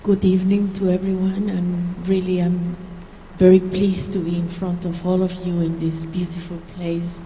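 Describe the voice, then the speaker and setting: A woman's voice: on the low side, level tone, moderate pace, mellow, a little hiss or hum, low-quality recording. A woman from Israel giving a short earnest speech, inviting the audience to join in. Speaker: guest speaker. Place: large hall on stage.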